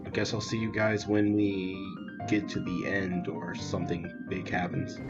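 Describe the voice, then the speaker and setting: A man's voice: sits low, steady tone, normal speed, muffled recording, loud music behind the voice. A young man giving casual let's-play commentary as the host of a gaming video.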